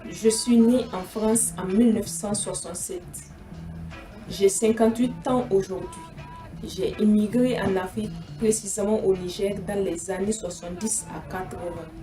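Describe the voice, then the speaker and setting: A woman's voice: mid-range voice, steady tone, moderate pace, lightly acted. A woman voicing an old French pickup truck, a stoical 58-year-old immigrant, in scripted first-person film narration. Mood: calm, reflective. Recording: roomy, distant, faint music behind.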